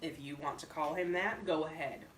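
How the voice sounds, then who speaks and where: A dry, aloof male storybook stranger, read by a woman, speaks in a crisp, even deadpan. The pitch is fairly low. The sound is echoey and a little distant from the mic. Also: normal speed, a little hiss or hum, close to an everyday voice. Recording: roomy echo, distant microphone.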